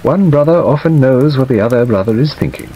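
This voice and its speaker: Man acting as weak gentleman, middle-aged, plaintive, hesitant, animated intonation, audiobook drama, slightly dull recording. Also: medium pitch, pitched above the performer's own voice, natural voice. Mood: sorrowful, confiding. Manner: hesitant, halting, self-qualifying. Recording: dull audio under steady rain noise.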